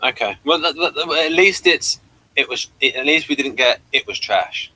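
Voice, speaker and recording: A man's voice: medium pitch, animated, normal speed, sharp tone, low-quality recording. A man co-hosting a casual review chat, stammering through his points and asking others for their opinions. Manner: stammering, questioning, joking asides. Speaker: show co-host.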